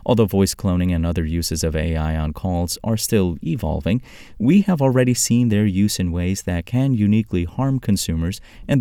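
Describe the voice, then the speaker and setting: A man narrating a scripted tech news article as a podcast host, in a clearly articulated, measured read-aloud delivery. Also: sits low, mellow, dry recording, clean studio sound.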